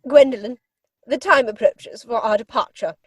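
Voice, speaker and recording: A woman playing an imperious older aristocratic English aunt in a comic stage-play reading, with pompous, deliberate delivery. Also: on the high side, husky, no room sound. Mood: haughty, appraising.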